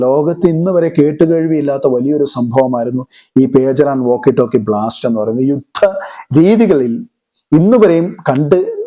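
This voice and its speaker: A man explains current affairs as a commentator, deliberately, repeating words for emphasis. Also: a little high, mellow. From Kerala, India.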